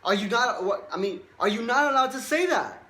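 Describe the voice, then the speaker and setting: A man's voice: extremely high pitch, lively intonation, a little fast, sharp tone, slight background noise. A man in his twenties, a life coach giving a boastful, emphatic motivational monologue and repeating himself for stress. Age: 20s.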